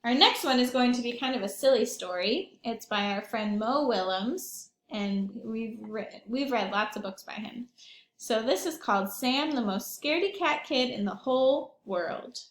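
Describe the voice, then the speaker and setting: A woman's voice: on the high side, normal speed, reverberant. A woman reading a picture book aloud to young children, expressively, adding simple asides as she goes. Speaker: early childhood read-aloud storyteller.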